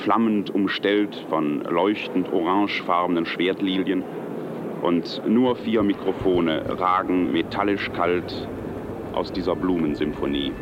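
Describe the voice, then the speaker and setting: A man's voice: deep voice, unhurried, highs cut off, noisy background. An actor playing a solemn male radio reporter of the late 1940s, giving stately, scripted descriptive reportage.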